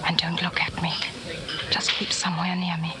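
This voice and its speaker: An actress playing a bold, rebellious young woman and secret lover in 1940s radio drama style, brisk and conspiratorial. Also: on the low side, completely flat delivery, natural voice. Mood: hushed, urgent. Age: young adult. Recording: noisy old 1940s radio recording.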